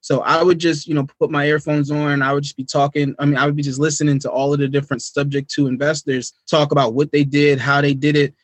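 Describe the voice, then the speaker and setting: A man's voice: fairly high pitch, little pitch movement, fast, hardly any pauses, no echo. An American man from New Jersey telling his story as a podcast guest in a casual, slangy way. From United States, New Jersey. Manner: informal, slangy, repetitive check-in phrases. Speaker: podcast guest, real estate investor.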